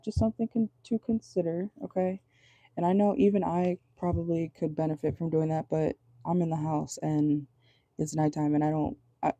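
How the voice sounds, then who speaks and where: Low-voiced, gravelly woman reading tarot. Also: mellow, dry recording. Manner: chatty, rambling, keeps checking in with "okay?". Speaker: tarot reader. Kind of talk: casual tarot reading to viewers. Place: at home indoors at night.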